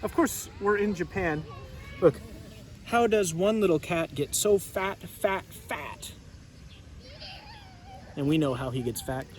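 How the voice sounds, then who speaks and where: Guy teacher reading a kids book, chatty, asks lots of questions, highish voice, noise in background. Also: slow pace, long pauses. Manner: chatty, prompting listeners with questions. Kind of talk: casual storybook read-aloud with questions.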